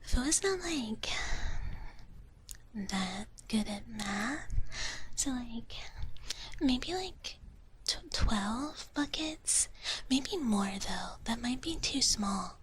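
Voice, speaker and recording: Female ASMR streamer as a doting dragon big sister: breathy close whisper, slow cutesy chat, noticeable background noise. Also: fairly high pitch, lively intonation. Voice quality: soft, breathy, mostly unvoiced whisper. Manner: slow, cutesy, gentle, refers to herself in third person. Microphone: close ASMR microphone.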